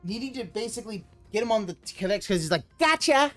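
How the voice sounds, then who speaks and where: A streamer talking over a video they are reacting to, their voice sitting at an ordinary pitch. They rant and swear freely and at times burst into loud yelling. Faint music plays under the talk. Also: expressive, quick pace. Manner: ranting, profane, swings into loud yelling. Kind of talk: live reaction commentary.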